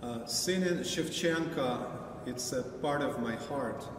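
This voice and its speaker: A middle-aged man from Ukraine with a Ukrainian accent, speaking emotionally and haltingly about what a poet's words mean to him, recorded in a large church from a distant camera. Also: medium pitch, noisy background. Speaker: choir singer. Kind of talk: heartfelt personal testimony. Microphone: far camera mic. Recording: echoey church from far off.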